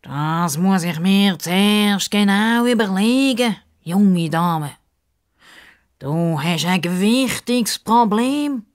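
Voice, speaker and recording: A woman voicing a self-important, dreamy male crocodile in a children's story, with a pompous, deliberate delivery and a Swiss German accent. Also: medium pitch, close to an everyday voice.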